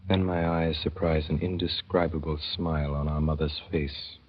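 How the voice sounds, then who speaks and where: A man narrating a 1930s radio drama in a measured, wry way, with deliberate pauses. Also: rumbling low, level tone. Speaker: narrator and lead performer. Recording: dull 1930s radio broadcast.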